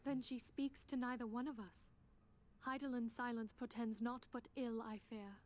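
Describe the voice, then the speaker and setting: A woman's voice: fairly high pitch, steady tone, normal speed, darkish tone, natural voice. An actress voicing a composed, earnest female leader who speaks formally and with archaic phrasing in a fantasy game. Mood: grave, earnest. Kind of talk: scripted game voice acting.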